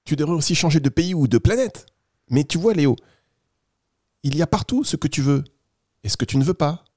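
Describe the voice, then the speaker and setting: A man voicing a kind, reassuring talking squirrel from a children's tale, with a soft, gentle way of explaining things. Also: a little high, animated, brisk, no room sound, studio quality. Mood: wise, cheerful. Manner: patient, explaining simply to a child.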